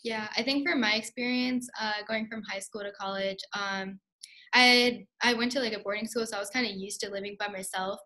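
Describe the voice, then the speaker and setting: A woman's voice: mid-range voice, bright, forceful tone. A young woman on a student panel, answering in a casual way with many likes and ums. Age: young adult.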